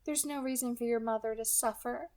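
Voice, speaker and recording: A woman voicing a polite, menacing female kidnapper with a soft, pleasant alto voice, light and friendly in tone. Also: fairly high pitch, fairly even intonation, a little fast, voice pushed up, lightly acted. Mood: coolly menacing. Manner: light, friendly, quietly threatening.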